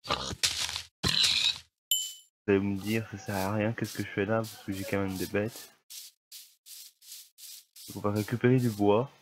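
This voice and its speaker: A man's voice: lowish voice, little pitch movement, a little slow, pauses often, background noise. Grumbling young man with a cold. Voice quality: slightly stuffy, congested. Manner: grumbling, easily annoyed at lag. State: has a cold, coughing. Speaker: gamer. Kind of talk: casual gameplay commentary.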